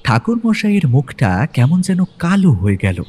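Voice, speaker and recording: A man's voice: a little high, very animated, moderate pace, darkish tone, breathy edge. A man narrating a scripted detective story in a dramatic storytelling style.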